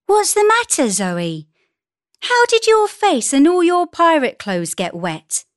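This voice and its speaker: A woman's voice: extremely high pitch, big pitch swings, slow pace, no room sound, clean studio sound, pitched above the performer's own voice, full character voice. A woman voicing a cheerful, caring mother in a children's story, with a singsong, exclamatory delivery. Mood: concerned surprise.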